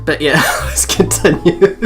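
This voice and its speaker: Slow, amused young woman. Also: low voice. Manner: giggly, amused. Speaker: let's play co-host. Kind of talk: casual reactive game commentary. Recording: music behind the voice.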